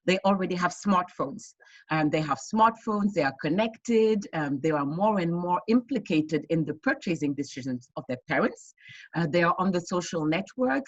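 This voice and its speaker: Husky-voiced woman founder on a video call. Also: fairly low pitch, no room sound, lo-fi sound. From West Africa. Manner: earnest, explanatory, many fillers and restarts. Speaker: fintech founder. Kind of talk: online panel interview answers.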